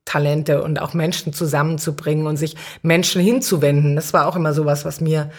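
A woman's voice: deep voice, steady tone, fairly quick. A middle-aged woman answering as an interview guest, conversational and reflective, often searching for the right words. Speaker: city councillor, podcast guest.

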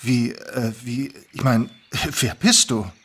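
A man's voice: mid-range voice, husky. Casting: a man playing a stunned storybook boy who trips over his words. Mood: bewildered. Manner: halting, stammering. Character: bewildered, stammering boy Max.

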